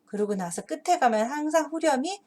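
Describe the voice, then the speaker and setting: A woman explaining a children's story and its moral as a teacher, earnest and deliberate, phrase by phrase. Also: sits high, lively intonation, mellow. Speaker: host and teacher of an English Bible story channel for parents.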